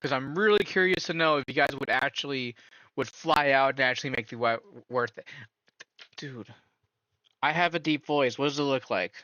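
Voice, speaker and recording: A man streaming a casual reaction, his voice bright and pushed forward. He keeps asking why in disbelief. The sound is dry and a bit dull, like a modest home setup. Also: mid-range voice, dry recording. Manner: incredulous, asks rapid repeated questions.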